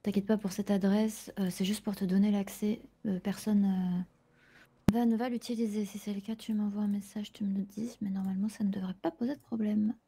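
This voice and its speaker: Une femme jouant une patronne de garage organisée dans un jeu de rôle improvisé, qui explique en hésitant. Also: female voice, mid-range voice, steady tone, moderate pace, dark tone, breathy edge. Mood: calm, explanatory. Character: business-like woman boss of a car garage, orderly. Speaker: manager recruiting a new employee. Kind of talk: improvised online roleplay dialogue.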